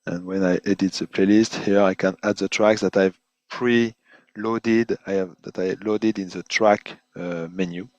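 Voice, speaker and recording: A man presenting an unscripted webinar software demonstration in halting, hesitant phrases, often repeating words as he goes. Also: low-pitched, steady tone, dry recording, muffled recording. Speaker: product presenter giving a software demo.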